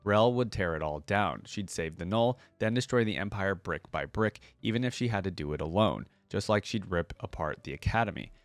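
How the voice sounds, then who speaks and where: Low-voiced man reading and reacting, dry recording. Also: lively intonation, normal speed, hardly any pauses, no room sound. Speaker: reaction video host. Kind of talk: reading lore aloud with casual reactions.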